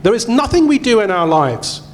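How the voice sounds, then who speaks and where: Man, high voice, urgent emphatic delivery. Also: sing-song, normal speed. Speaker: parliamentary candidate. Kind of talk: debate answer at a hustings.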